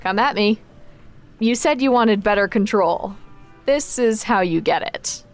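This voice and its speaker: A woman's voice: medium pitch, expressive, measured pace, faint music behind, natural voice. An actress playing a confident, supportive young woman in an audio drama, talking in a casual, frank, dry way. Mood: reflective, encouraging. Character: confident, wry, supportive young woman training her friend. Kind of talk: naturalistic audio drama acting.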